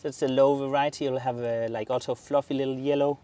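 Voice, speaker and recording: A man's voice: medium pitch, flat delivery, brisk, mellow. A man from southern Denmark with a Danish accent, hosting a casual garden tour outdoors and exclaiming eagerly over the plants. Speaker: garden show host.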